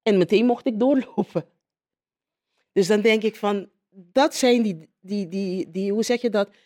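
Woman being interviewed, normal pitch, talking off the cuff, keeps searching for words and repeating. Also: a little slow, warm tone. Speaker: interviewee, local politician. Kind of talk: spontaneous personal account in interview.

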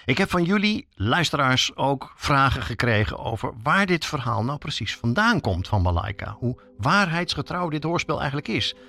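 A man's voice: mid-range voice, big pitch swings, moderate pace, vocal fry, hardly any pauses, bright, forceful tone, dry recording. A man hosting a podcast as a journalist, reading scripted narration that explains things directly to listeners.

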